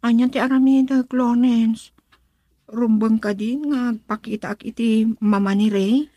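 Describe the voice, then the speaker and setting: A hesitant young daughter, with a Filipino accent and a fairly high voice. She speaks earnestly in radio drama style, in a dry, close recording. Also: fairly even intonation, a little slow, dark tone, no room sound. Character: hesitant young adult daughter considering marriage.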